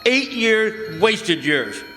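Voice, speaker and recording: A man's voice: high-pitched, very animated, drawn-out words, pushed and bright, faint music behind, full character voice. A man playing a hot-tempered, bitter ambulance driver in an old-time radio drama, slangy, blustering and agitated. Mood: bitter, defensive. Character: hot-tempered, bitter working-class male ambulance driver, desperate husband. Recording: echoey hall stage recording, faint noise.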